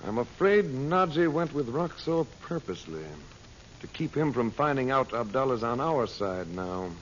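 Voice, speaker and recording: An actor playing an earnest, steady adventurer hero and uncle in an old-time radio serial. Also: male voice, mid-range voice, normal speed, lightly acted. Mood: worried, earnest. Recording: muffled, hissy old radio recording.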